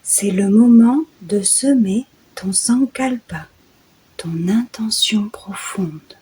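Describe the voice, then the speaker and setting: Very slow, breathy woman guiding meditation. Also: medium pitch, low-quality recording. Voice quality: soft, breathy, often dropping into a whisper. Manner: soothing, hushed, deliberate. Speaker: guided meditation host and yoga nidra guide. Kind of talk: guided sleep meditation narration.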